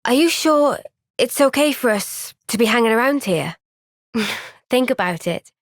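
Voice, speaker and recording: A woman playing a young, thoughtful and caring warrior heroine in a video game, speaking in a measured, earnest, gentle way. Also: on the high side, expressive, no room sound, clean studio sound. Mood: conversational. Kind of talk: video game cutscene voice acting.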